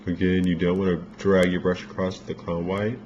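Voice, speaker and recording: Deep-voiced man, rambling makeup tutorial. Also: normal speed. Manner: relaxed, rambling instructions with fillers. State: losing his voice. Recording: dull lo-fi home recording with faint noise.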